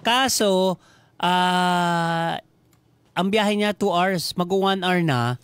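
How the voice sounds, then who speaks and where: Filipino guy on radio telling a comic story, kinda high voice, close dry mic, chatty and teasing. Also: drawn-out words, fairly bright tone, clean tone, no room sound. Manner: chatty, teasing, acting out quoted dialogue. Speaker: radio show storyteller and jokester. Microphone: close studio microphone.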